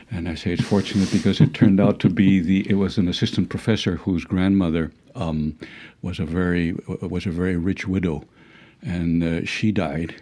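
A man's voice: sits low, warm tone. A middle-aged man with a Dutch accent, a professor talking reflectively in an interview, hesitant with many fillers and restarts and wry chuckles. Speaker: legal philosophy professor.

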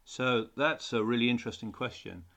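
A man's voice: medium pitch, brisk, pushed and bright. A middle-aged man, a teacher answering interview questions in an earnest way, often repeating words when he restarts. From England. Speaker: design teacher, podcast guest.

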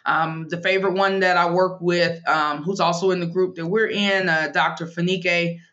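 A woman's voice: on the low side, fairly even intonation, a bit bright, muffled recording. A woman in her thirties, a financial planner, talking frankly and emphatically in an interview. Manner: brisk, emphatic, frank advice-giving. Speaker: financial planner and money coach. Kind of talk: candid interview talk.

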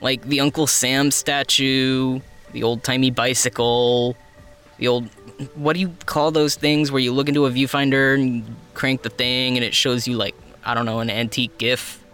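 A man's voice: mid-range voice, little pitch movement, normal speed, a bit bright, clean tone, faint music behind, natural voice. A man acting in an audio drama as a chatty, jumpy young team member with a casual, rambling, slangy delivery. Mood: puzzled, joking.

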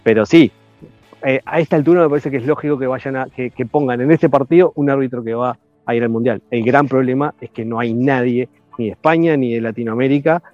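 A man giving measured, analytical commentary in a casual chat, with frequent hesitations. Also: medium pitch, no room sound, soft background music. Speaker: rugby podcast guest. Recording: dry, dull lo-fi sound.

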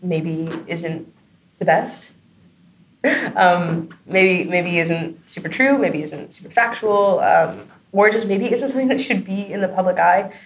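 Low-pitched chatty woman, noisy background. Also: unhurried. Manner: chatty, informal, frequent "like" and tag "right?". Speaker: interview guest, audio drama creator and comedy performer. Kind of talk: casual spoken interview answers. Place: on location at a film festival.

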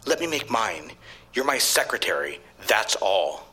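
Forceful boss, bright edge, lively pitch, radio drama delivery. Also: male voice, unhurried, a little hiss or hum, exaggerated voice. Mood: firm. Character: forceful, seductive boss pressing his secretary. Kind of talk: live radio play acting.

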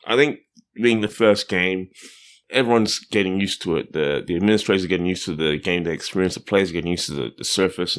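Deep-voiced, measured podcaster. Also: male voice. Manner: measured, descriptive, thoughtful. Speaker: podcast co-host reporting from the game. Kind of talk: casual sports podcast chat.

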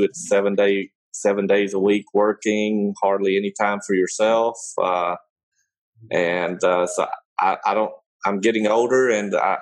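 A man's voice: fairly low pitch, rough recording. A middle-aged man from Germany, a small business owner answering interview questions hesitantly. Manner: hesitant, many fillers and restarts.

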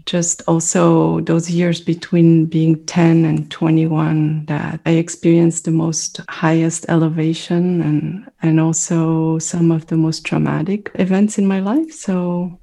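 A middle-aged woman whose first language is French, speaking as an interview guest. She talks in a thoughtful, reflective way, pausing often as she searches for words. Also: low-pitched, level tone, vocal fry, mellow. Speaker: mindfulness meditation teacher, guest.

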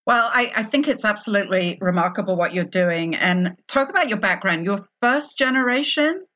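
A woman's voice: mid-range voice, lively intonation, normal speed, a bit bright, dry recording, studio quality. A woman hosting a podcast, reading an upbeat scripted intro and then interviewing a guest with enthusiasm. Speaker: podcast host. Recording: music bed under voice.

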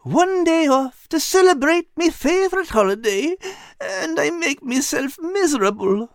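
Storybook fat tomcat: goofy, whiny, high voice, big swoops. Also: male voice, animated, measured pace, dry recording, clean studio sound, full character voice. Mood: miserable, whiny. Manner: whiny, childlike, dim but good-hearted. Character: goofy whining gluttonous male orange Irish cat.

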